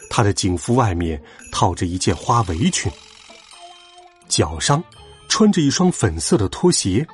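A man hosting and narrating children's stories in an expressive style. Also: on the low side, husky. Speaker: children's storyteller, show host.

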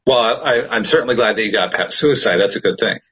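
Male host, thoughtful and measured, lowish husky voice, lively pitch. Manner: thoughtful, measured, searching for words. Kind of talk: casual chat.

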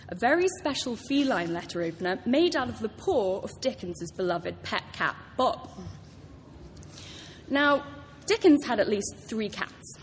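A British woman author giving a prepared talk to a live audience, telling stories with enthusiasm. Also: mid-range voice. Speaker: author introducing a public reading. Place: large hall at a public library event. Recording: reverberant hall, clear background noise.

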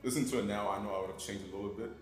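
A man, a singer being interviewed, talking in a relaxed, chatty way with frequent laughs and repeated words. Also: fairly low pitch, very fast, reverberant, music in the background. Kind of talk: casual interview talk.

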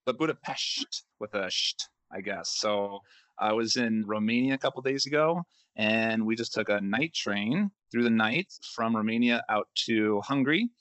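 A man hosting a podcast interview in casual chat, upbeat and quick to laugh, recording from a hotel room. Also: lowish voice, fairly bright tone, no echo. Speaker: podcast host.

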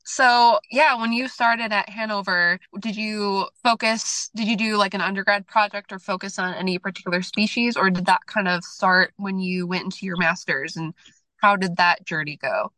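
A woman's voice: medium pitch, steady tone, moderate pace, sharp tone, no room sound, rough recording. A woman co-hosting a podcast who is sick and sounds nasal, asking long, friendly questions. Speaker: podcast co-host. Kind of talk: casual interview hosting.

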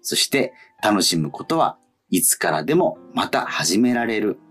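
Male radio host, deep husky voice, animated intonation, letter reading and chat. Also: unhurried, soft background music. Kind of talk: reading listener mail and chatting on a radio show.

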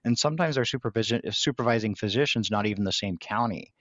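A man's voice: fairly low pitch, quick pace, fairly bright tone, no room sound, lo-fi sound. A man co-hosting a podcast, talking loosely and filling his sentences with "like". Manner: loose, filler-heavy, says like a lot. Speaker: podcast co-host. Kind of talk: casual podcast talk.

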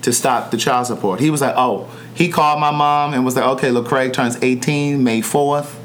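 Host, male, casual chat, animated storyteller, faint hum in the background. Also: medium pitch, moderate pace. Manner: animated storyteller, acts out quoted voices. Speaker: podcast host. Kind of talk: casual conversational interview.

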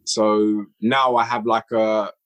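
A man guesting on a podcast, talking about his audio drama work in a relaxed, rambling way with lots of fillers. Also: fairly low pitch, takes it slowly. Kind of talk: casual podcast chat.

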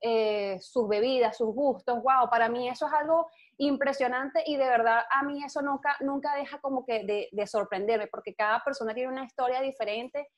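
A young woman from Venezuela with a Venezuelan accent, a guest telling stories in an outgoing, animated way and laughing easily. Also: high voice, moderate pace. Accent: Venezuelan Spanish. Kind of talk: casual interview chat. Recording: dull lo-fi sound.

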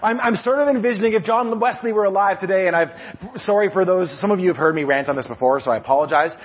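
A man with a loud voice preaching a casual, animated sermon, restarting his sentences often and poking fun at himself. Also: sits high, fast, slightly rough, muffled recording. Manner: animated, self-deprecating, many restarts. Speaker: preacher and music leader. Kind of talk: conversational sermon.

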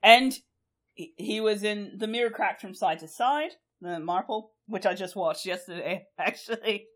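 A woman's voice: medium pitch, normal speed, fairly bright tone. A young woman co-hosting a podcast, chatting casually in a rambling way, laughing often and swearing freely. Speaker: podcast co-host.

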